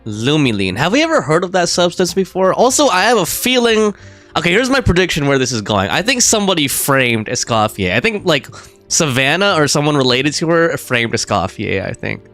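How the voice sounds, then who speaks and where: A man's voice: on the high side, sing-song, brisk, fairly bright tone, faint music behind. A man giving casual live game commentary as a streamer, chatty and informal. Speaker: livestreamer.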